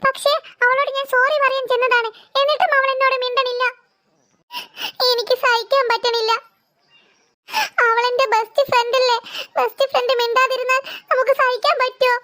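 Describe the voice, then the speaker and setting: A woman's voice: very high-pitched, little pitch movement, brisk, pauses often, bright, forceful tone. A woman voicing a sad, hurt little boy in a children's cartoon, plaintive and self-pitying. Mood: tearful, hurt. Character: sad, hurt little boy, sulking over best friend.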